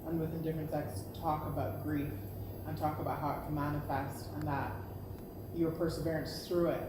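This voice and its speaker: A woman sharing personal reflections in a discussion, pausing between phrases as she thinks. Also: low-pitched, little pitch movement. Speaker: participant in a post-reading discussion. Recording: very echoey room, distant, noisy.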